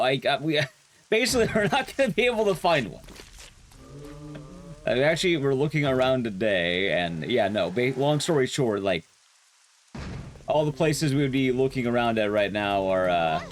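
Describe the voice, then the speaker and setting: A young man with a loud, carrying voice chatting casually with his viewers while streaming a game, rambling and restarting sentences as he goes. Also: normal speed, pauses often, slight background noise. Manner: rambling, restarts sentences, lively rises and drops in pitch. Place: his room at home, soundproofed.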